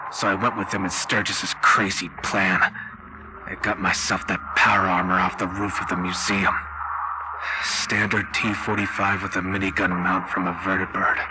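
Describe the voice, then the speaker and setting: A man voicing a weary, haunted war-veteran survivor in a halting, grave, half-whispered game roleplay monologue. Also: low voice, voice pushed down, low-quality recording. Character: haunted, weary male war-veteran survivor recording his account on tape. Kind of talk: slow dramatic post-apocalyptic horror game roleplay monologue.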